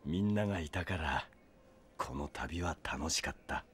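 A man's voice: a bass voice, a little slow, fairly bright tone, slight background noise. An actor playing a brash, sentimental young Frenchman in a Japanese audio drama, heartfelt and dramatic. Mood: tender, wistful. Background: music.

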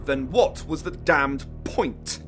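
Casting: a callous young male student, scornful and short-tempered, swinging widely in pitch, sci-fi radio play. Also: expressive, takes it slowly, music in the background. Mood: outraged. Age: young adult. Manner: scornful, insistent. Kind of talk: full-cast sci-fi audio drama.

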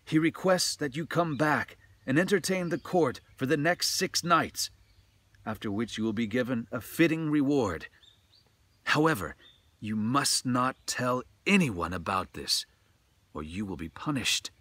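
Man voicing a high, formal court lady. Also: lively intonation, a little slow, fairly bright tone, no echo. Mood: gracious, stern. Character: formal, courtly female head attendant, gracious but stern.

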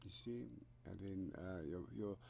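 Slow, low-voiced Afrikaans-accented man. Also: creaky, mellow. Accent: South African Afrikaans. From South Africa. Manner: rambling, hesitant, many fillers and restarts. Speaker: composer giving a software tutorial. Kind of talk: casual screen-recorded software walkthrough. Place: home studio room.

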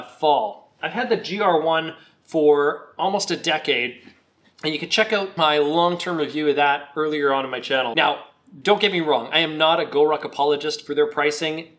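A man with a slightly croaky voice, recovering from a cold, giving a relaxed, chatty product review on his video channel. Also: on the high side. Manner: relaxed, chatty, with some fillers.

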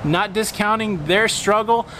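High-voiced man arguing on a vlog. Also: a little slow, pushed and bright, slight background noise, rough recording. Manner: argumentative, rhetorical questions, punchy emphasis. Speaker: host of a cruise travel channel. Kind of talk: casual opinion vlog. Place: screened pool patio outdoors.

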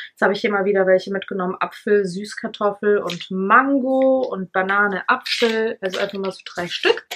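A woman's voice: medium pitch, a bit bright, slight background noise. A German woman in her thirties, a little stuffy-nosed, chatting casually in a home vlog as she shows products one by one. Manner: chatty, showing products one by one, lots of fillers. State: slightly stuffy nose. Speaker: vlogger. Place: living room at home. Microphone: camera on tripod at close range.

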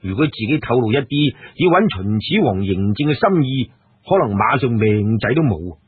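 A man's voice: medium pitch, lively intonation, normal speed, breathy edge, no echo. A man narrating a story in Hong Kong Cantonese, with colloquial asides, like a storyteller talking to listeners. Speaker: audiobook storyteller. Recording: dry, narrow phone-like radio sound.

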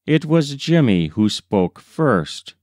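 A man reading a short story aloud as scripted literary narration, in a measured, deliberate storytelling manner. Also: mid-range voice, big pitch swings, a little mellow, no echo, studio quality. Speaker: audiobook reader.

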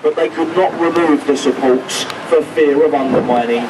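A man's voice: on the high side, moderate pace. Chatty man on a boat PA. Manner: chatty, jokey tour patter. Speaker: boat crew commentator. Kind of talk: tour commentary over a microphone. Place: open deck of a river boat, outdoors. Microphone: handheld microphone over a boat speaker, filmed from a distance. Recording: distant PA voice with boat noise.

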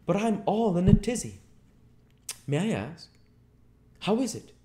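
Storybook tramp: high, loud, flustered, cringing, blustering in rustic slang. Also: male voice, big pitch swings, measured pace, background noise. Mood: flustered, aggrieved. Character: flabbergasted, frightened, cringing tramp Mister Marvel.

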